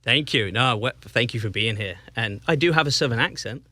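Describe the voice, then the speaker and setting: English man, Northampton accent, relaxed guest on a podcast, halting delivery, dry close mic. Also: medium pitch, no echo. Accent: English, Northampton area. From England. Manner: easygoing, halting with restarts and fillers. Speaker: guest, soccer club president. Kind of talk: casual interview chat.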